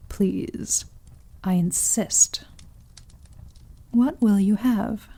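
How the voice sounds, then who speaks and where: A woman playing a seductive, possessive female vampire in an intimate ASMR roleplay, speaking softly and breathily, often whispering, with teasing, silky condescension. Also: mid-range voice. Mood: coaxing, unhurried. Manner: slow, teasing, silky condescension with pet names.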